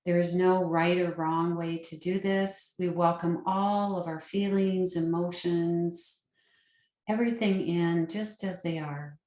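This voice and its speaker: A woman leading a guided meditation as a yoga nidra teacher, with a soothing, gentle and unhurried manner. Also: lowish voice, fairly even intonation. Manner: soothing, unhurried, gentle instruction with long pauses. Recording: roomy, echoing sound.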